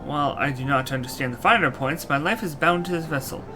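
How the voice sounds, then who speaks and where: Guy doing a polite knight soul stuck in a machine, formal and measured video game talk, music behind. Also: a little high, lightly acted. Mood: formal, reflective. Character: courteous old knight's soul housed inside a machine. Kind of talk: video game dialogue read aloud.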